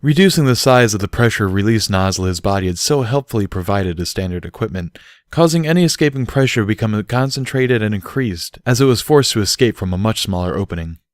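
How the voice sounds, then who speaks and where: A man with a fairly low voice reads scripted fiction as an audiobook narrator. The recording is close and dry, with very little room sound. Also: lively intonation, fairly quick, dry recording. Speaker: audiobook reader.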